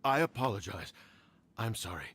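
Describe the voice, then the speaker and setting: A man's voice: on the low side, lively intonation, normal speed, a bit bright, breathy edge. A man playing a humbled, deferential clan retainer in a video game, speaking formally and submissively. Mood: apologetic, submissive. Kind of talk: scripted video game voice acting.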